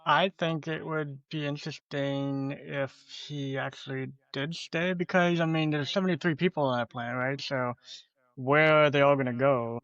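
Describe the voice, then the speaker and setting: A man's voice: fairly high pitch, fairly even intonation, normal speed, a bit bright, no room sound. A man giving his opinion as a podcast panelist in casual talk, on a dull lo-fi microphone. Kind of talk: casual discussion.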